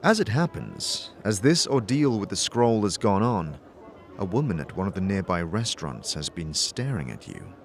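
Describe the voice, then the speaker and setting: A man's voice: deep voice, big pitch swings, normal speed, faint music behind. A man narrating a scripted second-person story for an audio drama.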